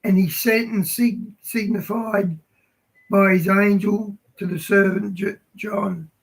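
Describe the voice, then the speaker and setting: A hoarse man hosting a livestream, reading scripture aloud haltingly and chatting casually. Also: sits high, steady tone, rough recording. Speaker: livestream host.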